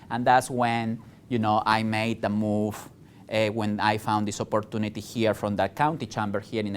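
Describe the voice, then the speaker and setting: A man's voice: medium pitch, clean tone. Peruvian-accented man giving a campus talk. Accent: Peruvian Spanish. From Peru. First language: Spanish. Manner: conversational, many fillers and restarts. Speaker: guest speaker, chamber of commerce director. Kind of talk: lecture to college students. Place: college lecture room.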